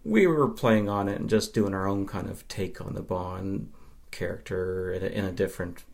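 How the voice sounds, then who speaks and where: Low, creaky-voiced man from Nova Scotia. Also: normal speed, a little mellow. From Nova Scotia, Canada. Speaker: podcast guest, film fan. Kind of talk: casual interview chat.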